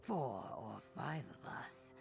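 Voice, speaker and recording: Very deep, gravelly warrior woman. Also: sing-song, a little mellow, heavily acted. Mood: proud. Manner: grave, measured, formal wording. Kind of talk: video game dialogue. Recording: game music behind the voice.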